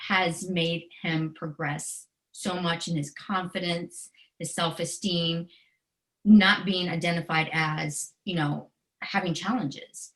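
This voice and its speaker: An American woman in middle age speaks with a voice a little lower than most women's. She is earnest, emotionally open and conversational, telling personal anecdotes as an educator and author being interviewed. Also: fairly even intonation, slow, fairly bright tone, poor audio.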